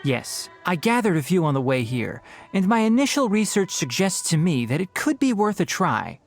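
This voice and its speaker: A dry-witted young forest researcher, lively intonation. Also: male voice, high-pitched, moderate pace, soft background music. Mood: thoughtful. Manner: articulate, dry, polite. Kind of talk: video game voice acting.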